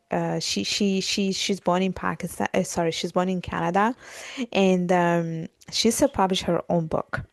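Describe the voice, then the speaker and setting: A woman's voice: on the low side, creaky, no echo. A woman in her thirties from Italy, chatting as a podcast guest with lots of fillers like "like" and "you know.". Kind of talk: casual conversational interview.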